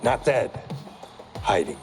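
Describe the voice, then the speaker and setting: A man's voice: big pitch swings, takes it slowly, exaggerated voice. A stern young swordsman. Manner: terse, grave, low-voiced. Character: intense young male swordsman, stern and proud. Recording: game cutscene with music behind.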